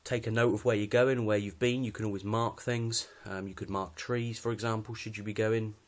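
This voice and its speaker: Low-pitched British man, casual and understated. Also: little pitch movement, a little fast. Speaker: hobbyist presenter showing his kit. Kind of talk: casual unscripted gear walkthrough.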